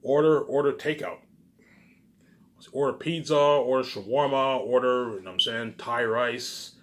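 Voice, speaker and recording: A man's voice: medium pitch, measured pace. A man speaks in a mid-range voice, rambling casually as if thinking aloud. He loops back over his own phrases, restating them with small changes. Loose swearing and little tag questions pepper his talk. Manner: repetitive, circling back over the same phrases.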